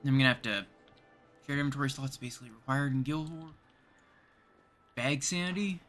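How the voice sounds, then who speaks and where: A man's voice: mid-range voice, fairly quick, fairly bright tone, soft background music. A man with a Canadian accent, chatting casually as a livestream host and thinking aloud while he plays.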